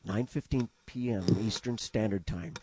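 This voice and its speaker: A man playing an excitable, courteous 1930s radio news reporter with formal announcer diction that gets flustered. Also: lowish voice, normal speed, a little hiss or hum, natural voice. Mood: formal, composed. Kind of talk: 1930s radio drama acting.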